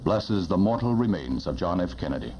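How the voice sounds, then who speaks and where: An American man narrating a solemn 1960s newsreel in a grave, reverent, measured oratorical manner, on a vintage film soundtrack. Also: low voice, very animated, muffled recording, background noise. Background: loud music.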